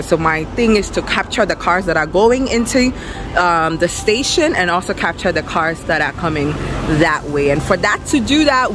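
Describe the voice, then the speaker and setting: Low-voiced young woman vlogging, music behind. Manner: excited, upbeat. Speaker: vlogger and small business owner. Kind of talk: casual vlog chat to viewers. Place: outdoor storefront and bare empty shop room.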